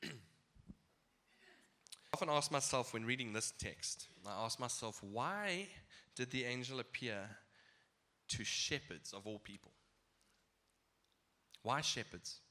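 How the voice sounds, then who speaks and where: A man's voice: medium pitch, animated, slow pace, long pauses, pushed and bright. Nasal-sounding man preaching with a cold. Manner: casual, chuckling asides. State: stuffy blocked nose. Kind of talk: sermon.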